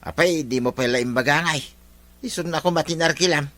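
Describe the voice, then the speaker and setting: A man acting as a nagging village man in a radio drama, full of reproach. His voice sits fairly high for a man, bright and forceful, recorded close and dry. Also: fairly quick, no echo, lightly acted. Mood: reproachful.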